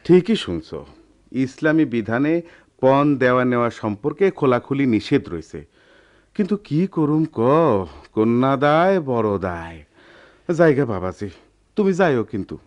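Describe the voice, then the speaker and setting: A poor old village uncle in a radio play. The voice swings widely up and down around a middle pitch, folksy and pleading. The accent is rural eastern Bengali from Bangladesh, the temper worried and deferential. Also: male voice, sing-song, measured pace, a little mellow, muffled recording. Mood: frank, resigned. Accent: rural eastern Bengali dialect, Bangladesh. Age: older. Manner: plaintive, folksy. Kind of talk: Bengali radio drama acting.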